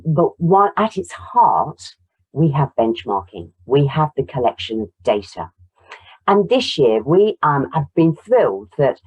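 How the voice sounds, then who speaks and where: Croaky-voiced woman presenting results. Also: low voice, darkish tone, rough recording. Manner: apologetic, walks through slides. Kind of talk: webinar presentation.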